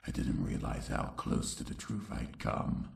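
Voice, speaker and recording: A man playing a grim, brooding male unicorn who tells the story of his youth in gravelly, dramatic narration, recorded with echo. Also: a bass voice, very animated, a little slow, full character voice. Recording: roomy echo, distant voice.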